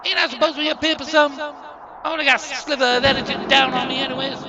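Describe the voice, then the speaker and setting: A man's voice: very high voice, little pitch movement, very fast, muffled recording, crowd noise. A man voicing a cocky, whining bear pimp in a cartoon wrestling show, slangy and aggrieved. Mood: aggrieved, worn out. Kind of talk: scripted wrestling cartoon acting. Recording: clear music over the voice.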